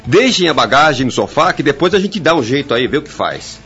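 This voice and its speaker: A man's voice: medium pitch, sing-song, a little fast, fairly bright tone. Boisterous, tipsy young man. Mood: welcoming. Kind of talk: radio drama acting. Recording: dull radio play with faint music.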